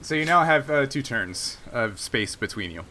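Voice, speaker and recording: A man with a bright, forward voice at an average pitch runs a tabletop game as game master. He talks casually through moves and rules. A noticeable noise sits in the recording. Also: expressive, moderate pace.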